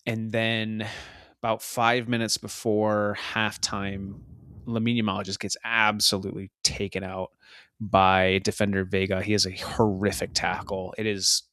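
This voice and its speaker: A man's voice: on the low side, flat delivery, measured pace, sharp tone, no room sound, studio quality. A man co-hosting a casual soccer podcast, chatting through match recaps in a rambling, easygoing way. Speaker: podcast co-host.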